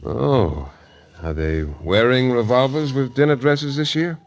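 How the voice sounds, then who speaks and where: A man's voice: medium pitch, measured pace, close to an everyday voice. An American man playing a wisecracking, hard-boiled private detective in 1940s radio style, wry, breezy and slangy. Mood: flirtatious. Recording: noisy 1940s radio transcription recording.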